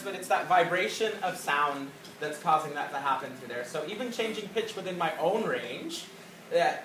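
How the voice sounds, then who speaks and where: A man music teacher explaining to a class how the voice works, demonstrating by singing. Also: fairly high pitch. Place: school classroom. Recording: echoey classroom from far off with loud noise.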